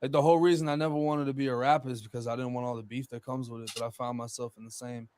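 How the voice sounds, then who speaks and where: A man's voice: mid-range voice, little pitch movement, rapid-fire, darkish tone, slight background noise. Blunt, slangy man chatting casually. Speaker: podcast guest. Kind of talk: casual street-slang chat.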